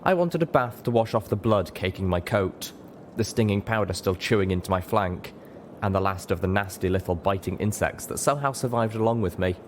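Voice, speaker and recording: Low-voiced man narrating a story. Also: expressive, fairly quick, slight background noise. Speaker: audiobook narrator. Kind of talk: scripted dramatic narration.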